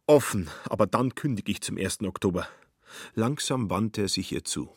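A man narrating a literary radio play with a husky voice and a wry, dry storytelling manner. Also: lowish voice, lively intonation, moderate pace, no room sound.

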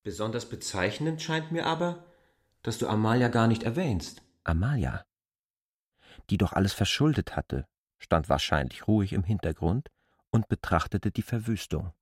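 An actor playing an argumentative, judgmental outsider in a radio drama, reasoning insistently and critically. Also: male voice, fairly low pitch, expressive, moderate pace, breathy edge, no echo, clean studio sound. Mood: probing, sceptical. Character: argumentative, judgmental male outsider questioning a village family.

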